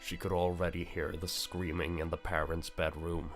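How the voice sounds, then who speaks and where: A man reading a visual novel aloud as narrator, in a grave, deliberate storytelling manner. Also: low-pitched, little pitch movement, faint music behind.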